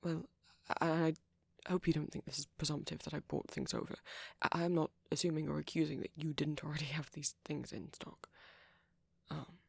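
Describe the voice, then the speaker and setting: A woman voicing a shy, gentle young male vampire boyfriend in an ASMR roleplay, speaking breathily and hesitantly with small stammers. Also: low voice, flat delivery, brisk, vocal fry, breathy edge, dry recording, full character voice. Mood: shy, caring. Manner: hesitant, stammering, softly whispery. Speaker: audio roleplay performer.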